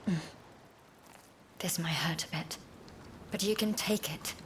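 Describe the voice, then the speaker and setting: An actress playing a calm, steady healer in a dramatic video game. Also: female voice, lowish voice, unhurried. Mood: calm, somber. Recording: background noise and ambience.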